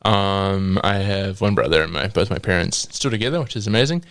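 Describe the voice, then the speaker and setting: A young man in his twenties from New Zealand with a New Zealand accent, a podcast guest sharing his faith testimony with many pauses, ums and laughs. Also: low-pitched, animated, fairly bright tone, dry recording. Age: 20s. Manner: hesitant, with frequent ums and laughs.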